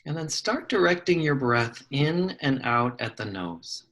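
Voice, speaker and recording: A man guides a meditation at a very unhurried pace, in a fairly average-pitched voice. He gives soft, measured instructions and leaves long pauses between his phrases. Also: very animated. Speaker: psychologist leading a guided meditation.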